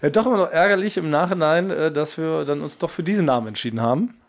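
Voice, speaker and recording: A man with a fairly high voice hosts a casual comedy podcast. His delivery is jokey and mock-boastful, like someone bantering with his co-hosts. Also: fast, vocal fry. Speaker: podcast host.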